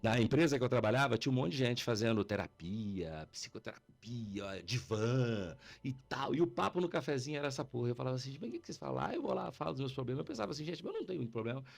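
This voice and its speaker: A man's voice: mid-range voice, very animated, quick pace, creaky, hardly any pauses. A man with a Brazilian accent telling stories in a casual podcast chat, slangy and informal, acting out dialogue as he goes. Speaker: podcast participant telling anecdotes. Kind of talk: casual animated storytelling chat.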